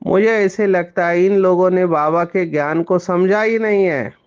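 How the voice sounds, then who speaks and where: A man delivers a spiritual discourse at a measured, very slow pace. His voice sits a little high for a man and rings smooth and clear. The recording sounds slightly muffled. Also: fairly even intonation. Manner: slow, explanatory, mixing in English words. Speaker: spiritual teacher.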